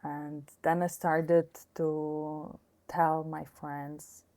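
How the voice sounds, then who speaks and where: A woman's voice: low voice, flat delivery, very slow, mellow. A middle-aged woman from Austria speaking as an interview guest, hesitant, with many fillers and restarts. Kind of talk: casual interview conversation.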